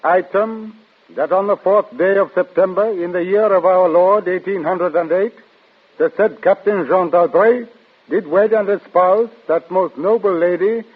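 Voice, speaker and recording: On a thin, band-limited old radio recording, a zealous court clerk of the Inquisition. His voice is high and husky, and he declaims formally, stern and accusing. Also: unhurried, phone-quality sound. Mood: officious, stern. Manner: formal, declamatory reading of charges. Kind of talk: old-time radio drama acting.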